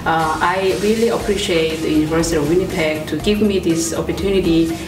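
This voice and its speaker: A woman's voice: low-pitched, brisk. A woman course instructor speaking steadily in a promotional interview, with occasional fillers. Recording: roomy, distant mic, music behind.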